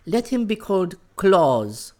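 A man's voice: high-pitched, very animated, slow, darkish tone, voice pushed up, full character voice. A man voicing a young female forest nymph from a storybook, earnest, tender and boldly pleading.

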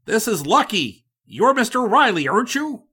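A man acts out an eager, self-important hustler who cannot wait. The voice sits high and jumps about, loud and breathless with hurry, with a slightly husky grain. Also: full character voice. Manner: excited, rushed, loud.

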